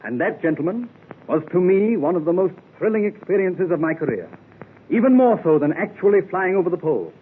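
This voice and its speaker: An actor playing a seasoned male explorer, gravely recounting his polar expedition in 1930s radio drama. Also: high-pitched, big pitch swings, heavily acted. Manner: grave, measured storytelling. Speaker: club member telling his tale. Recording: tinny 1930s radio recording.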